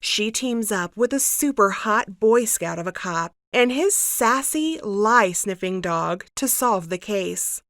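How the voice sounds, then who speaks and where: A woman's voice: mid-range voice, lively intonation, takes it slowly, few pauses, fairly bright tone, no room sound, clean studio sound. A woman narrating a scripted book blurb as a promotional teaser.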